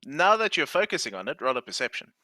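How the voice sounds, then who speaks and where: A man's voice: a little high, big pitch swings, fast talker, sharp tone, no echo. A man, a native Afrikaans speaker, running a tabletop game as game master and joking with the players.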